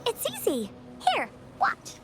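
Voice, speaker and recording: A woman's voice: extremely high pitch, huge pitch swings, takes it slowly, over music, heavily acted. A woman voicing a bubbly, brave little girl adventurer in an anime-style video game. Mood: cheerful, reassuring.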